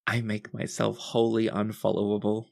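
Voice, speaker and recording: A man's voice: on the low side, monotone, normal speed, creaky, a little mellow. A man voicing an earnest, bubbly young princess with formal courtly phrasing and a streak of mischief, in a tabletop game. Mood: conspiratorial, playful.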